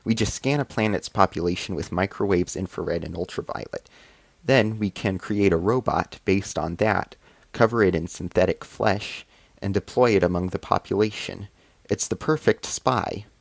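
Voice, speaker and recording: A man's voice: low-pitched, moderate pace, creaky, dry recording. A man voicing a haughty alien female ambassador in a story, explaining and commanding with cold menace. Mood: cool boasting.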